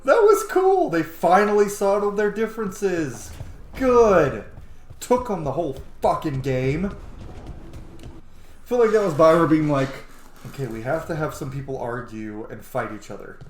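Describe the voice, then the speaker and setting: A streamer giving casual, excitable and sweary commentary on a video game. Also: mid-range voice, a little slow. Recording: roomy, echoey, noisy game stream.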